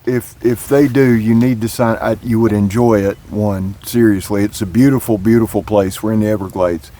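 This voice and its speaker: A man hosting a casual interview outdoors at a Florida camp, enthusiastic and quick to agree. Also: on the low side, fairly even intonation, warm tone. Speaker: host.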